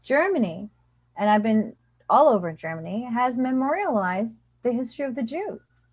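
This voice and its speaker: A woman's voice: a little high, big pitch swings, moderate pace, a little mellow, slightly rough, no room sound. A woman professor speaks as a guest over a video call, her voice dull and dry, with no room sound. She explains earnestly and steadily, dropping in "you know" and "I mean" as she goes.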